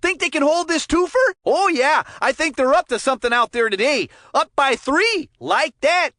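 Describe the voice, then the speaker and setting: An actor playing an excitable, folksy cartoon kids' hockey commentator in a video game, full of slangy exclamations. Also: sits high, normal speed, no room sound, clean studio sound. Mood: upbeat, chatty. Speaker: sports commentator. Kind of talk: animated play-by-play game commentary.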